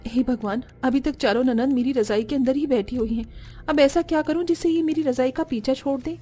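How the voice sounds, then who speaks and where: A woman's voice: high voice, fairly quick, dark tone. A woman voicing a long-suffering young daughter-in-law in a cartoon, plaintive and polite. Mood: exasperated. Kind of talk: Hindi cartoon dialogue acting.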